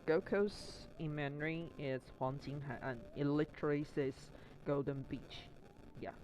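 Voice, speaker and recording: A man from Taiwan chats casually while he works on a craft project. His English carries a mixed Australian and Canadian accent, and he rambles, restarting his sentences and filling gaps with fillers. His voice sits a little high for a man, with a faint hiss of noise behind it. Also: lo-fi sound. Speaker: Twitch streamer.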